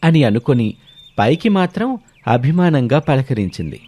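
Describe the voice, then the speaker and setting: A man's voice: mid-range voice, sing-song, normal speed, darkish tone, husky, faint music behind. A man narrating a scripted moral story as a storyteller.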